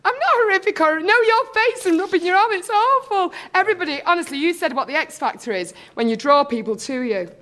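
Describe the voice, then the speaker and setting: A woman in her thirties, a talent show contestant, talking without pause, begging and teasing the judges. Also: extremely high pitch, lively intonation, bright, forceful tone. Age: 30s. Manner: nonstop chatter, begging and teasing. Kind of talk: pleading audition banter.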